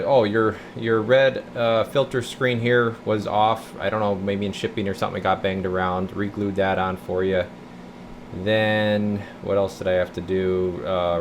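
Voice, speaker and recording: Low-voiced man, casual repair talk. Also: slight background noise. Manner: folksy, informal, thinking aloud. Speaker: radio repair technician presenting his repair videos. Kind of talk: casual rambling repair walkthrough. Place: radio repair workshop.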